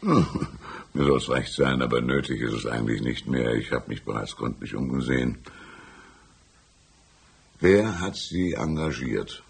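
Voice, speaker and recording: Tiefer, knarziger, schlauer alter Gesetzeshüter. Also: male voice, a bass voice, exaggerated voice. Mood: probing, calm. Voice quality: gravelly, husky. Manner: drawn-out, patronizing, calls listener "my son". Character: folksy, shrewd, unhurried older small-town lawman. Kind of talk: radio drama acting.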